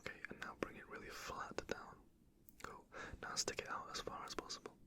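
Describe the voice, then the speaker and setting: An ASMR performer role-playing a medical examiner, whispering gentle instructions and reassuring praise. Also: brisk.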